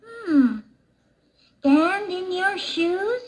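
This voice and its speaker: A woman's voice: very high-pitched, animated, very slow, full character voice, low-quality recording. A woman voicing a cute, sweet young cartoon goat with a childlike, coy and teasing way of talking. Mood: playful. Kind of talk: animated cartoon dialogue. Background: loud music.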